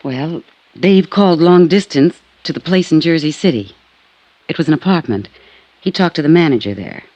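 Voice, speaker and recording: An actress playing a troubled American woman in her late twenties in a 1950s radio drama, speaking in a subdued, halting way. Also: low voice, lively intonation, a little mellow, dry recording, dull audio. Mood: subdued, resigned. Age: young adult.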